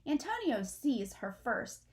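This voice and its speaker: A woman's voice: fairly high pitch, very animated, takes it slowly. A middle-aged woman reading a children's story aloud expressively, like a teacher, in a room at home. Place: small furnished room at home, indoors.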